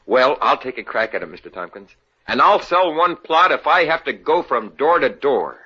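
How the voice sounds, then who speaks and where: A man's voice: mid-range voice, big pitch swings, moderate pace, sharp tone, husky, exaggerated voice. An actor playing an eager, bumbling young salesman in a 1950s radio comedy. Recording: dull lo-fi old radio broadcast.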